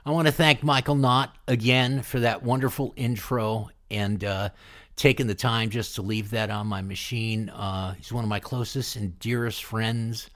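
A man's voice: lowish voice, steady tone, moderate pace, fairly bright tone. A man hosting a music show in a casual, conversational way. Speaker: radio show host.

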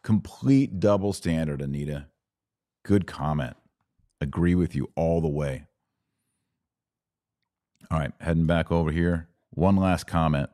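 Male host, very low register, dry close-mic sound, punchy live-chat commentary. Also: unhurried, pauses often, warm tone, no room sound. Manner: emphatic, punchy lists of short phrases. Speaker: podcast host.

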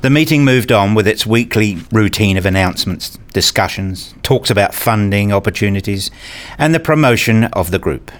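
A man's voice: fairly low pitch, lightly acted. An actor playing a weary, irritable academic who narrates his own day in a radio play, with a dry, sardonic manner. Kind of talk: scripted first-person narration, radio play.

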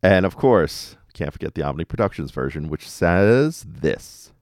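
A man hosts a casual fan podcast in a fairly low voice. His intonation is very animated and he talks in a conversational, enthusiastic way. The sound is close and dry. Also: unhurried, dry recording. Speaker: podcast host. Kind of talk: casual fan podcast, recapping cartoon episodes. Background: music under the voice.

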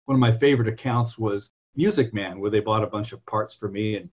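Husky-voiced rambling man, muffled audio. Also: fairly low pitch, brisk. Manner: rambling, self-correcting, anecdotal. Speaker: guest, software entrepreneur. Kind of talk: conversational interview storytelling.

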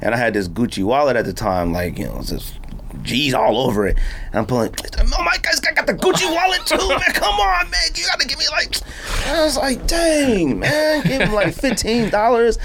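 A man chatting casually and animatedly in slangy, informal talk, quick to laugh. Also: very high-pitched, lively intonation, gravelly, fairly bright tone, a little hiss or hum. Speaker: podcast host interviewing a guest. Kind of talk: casual chat, slangy storytelling.

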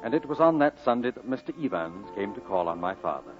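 An actor playing a grown man wistfully recalling his boyhood, narrating an old radio drama in a measured, reflective way. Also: on the low side, very animated. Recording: muffled old radio broadcast with music.

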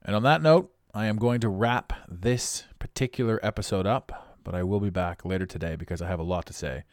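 Deep raspy man, blunt and preachy. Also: fairly quick, dry recording, clean studio sound. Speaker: podcast host. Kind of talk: motivational monologue.

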